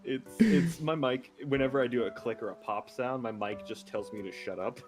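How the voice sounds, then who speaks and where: A man's voice: medium pitch, normal speed, a little mellow, soft background music. A man chatting casually as a stream guest, slangy, informal and enthusiastic in the way he talks. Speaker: stream guest, ASMR voice actor. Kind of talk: casual chat.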